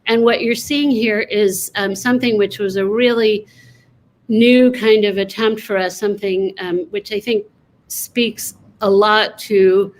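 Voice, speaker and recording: A woman presenting on an online panel, talking quickly with frequent ums and self-corrections. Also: mid-range voice, fairly even intonation. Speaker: panelist presenting prayerbook editing.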